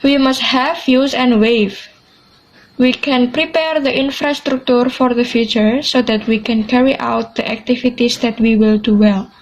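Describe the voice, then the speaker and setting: A woman's voice: fairly high pitch, fairly even intonation, a little slow, highs cut off. A teenage young woman with an Indonesian accent, reading explanations as co-host of a student podcast. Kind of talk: scripted explainer read aloud with chatty links.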